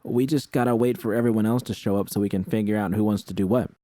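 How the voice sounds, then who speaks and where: A man's voice: on the low side, fairly even intonation, fast, warm tone, dry recording, natural voice. A man voicing an earnest young woman technician, nervous but professional, hesitant and accommodating.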